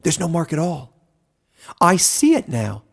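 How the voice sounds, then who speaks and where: Man voicing a high-pitched, excitable frog creature. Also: measured pace, creaky, full character voice. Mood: awed. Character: small excitable frog-like creature, awed, blurting.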